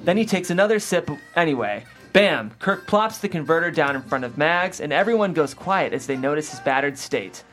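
A woman's voice: low voice, expressive, brisk, few pauses, fairly bright tone, faint music behind. A woman hosting and reading aloud, as at a script table read. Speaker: host and script reader. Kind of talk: reading screenplay action aloud, then interviewing the writer.

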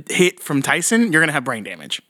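A man's voice: on the high side, huge pitch swings, moderate pace, pushed and bright, no room sound, studio quality. A young man hosting a casual podcast, joking and laughing often with crude slang. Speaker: podcast host.

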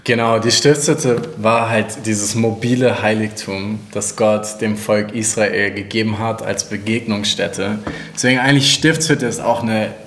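A man in his twenties from Hamburg, a church co-founder, chatting casually on a podcast, friendly and quick to laugh. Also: fairly low pitch, fairly even intonation, fairly bright tone, a little hiss or hum. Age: 20s. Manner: friendly, laughs a lot, asks guiding questions. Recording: roomy echo.

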